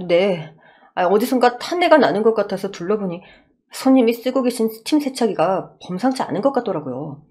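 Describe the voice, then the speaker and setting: A woman plays an earnest, responsible man who manages a car wash. The delivery is urgent and deferential, with very lively pitch, in audio drama style. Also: a little fast, lightly acted. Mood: concerned, polite.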